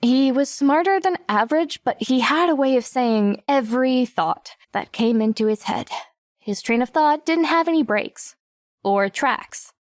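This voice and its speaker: A woman's voice: high voice, expressive, measured pace, dry recording, studio quality. An actress playing a wry, self-conscious teenage girl narrating her own story in an audiobook. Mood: wry, reflective. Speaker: lead narrator. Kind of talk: first-person audiobook narration.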